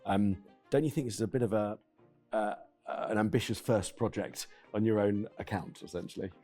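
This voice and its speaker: A man's voice: fairly low pitch, a little fast, dark tone, soft background music. A man on an investor panel, asking direct, probing questions.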